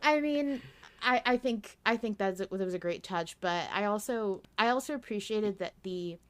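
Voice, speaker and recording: A woman's voice: mid-range voice, normal speed, fairly bright tone, clear, resonant tone. A woman hosting a podcast in casual conversation, candid and informal, thinking aloud and often rephrasing herself. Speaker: podcast host.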